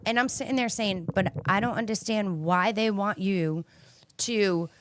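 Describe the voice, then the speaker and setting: A woman's voice: mid-range voice, unhurried, a little hiss or hum. A woman guest on a casual panel chat, speaking frankly and sounding fed up. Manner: exasperated, frank.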